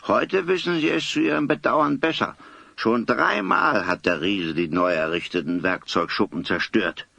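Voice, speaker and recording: A man's voice: lowish voice, moderate pace, gravelly, pushed and bright, dry recording, heavily acted. A man playing a solemn old grandfather in an audio drama, telling things in a dramatic, old-fashioned, wordy way. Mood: aggrieved, worried. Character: solemn old grandfather, vindicated eyewitness storyteller. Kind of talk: German audio drama acting.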